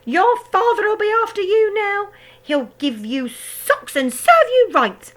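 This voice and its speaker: A woman voicing a bossy, shouting old grandmother in a storybook reading, shrill and cackling. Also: very animated, slow, exaggerated voice. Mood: scolding shriek.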